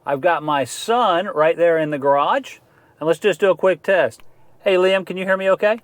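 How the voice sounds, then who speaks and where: A man's voice: sits high, normal speed. A middle-aged man hosting a casual outdoor gear review in an informal, enthusiastic way. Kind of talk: casual product review vlog.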